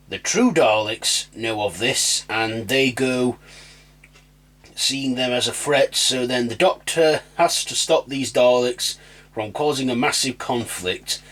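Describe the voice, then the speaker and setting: A man hosting a casual, unscripted audio review, speaking haltingly and leaning on lots of filler phrases. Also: mid-range voice, fairly bright tone. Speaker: review channel host.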